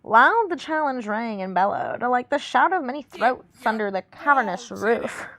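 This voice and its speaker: A woman's voice: on the high side, animated, moderate pace, vocal fry, fairly bright tone. A woman voicing a ditzy dumb blonde who dramatically reads an epic fantasy storybook aloud. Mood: ditzy excited. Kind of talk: fantasy storybook reading in a put-on voice.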